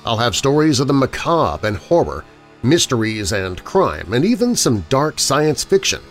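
A man hosting a podcast, reading a scripted introduction to old-time radio with theatrical, deliberate emphasis. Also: medium pitch, sing-song. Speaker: podcast host. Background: background music.

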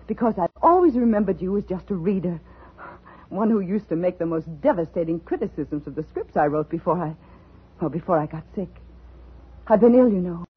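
A woman's voice: fairly low pitch, breathy edge. An actress playing a brittle, intense woman scriptwriter unsettled after illness, in a 1950s radio drama. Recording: muffled, lo-fi old radio recording.

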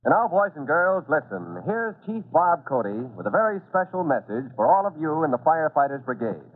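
A man's voice: fairly high pitch, big pitch swings, a little fast. A man narrating a children's adventure serial as a dramatic 1940s radio announcer. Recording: narrow-band, slightly noisy 1940s radio recording.

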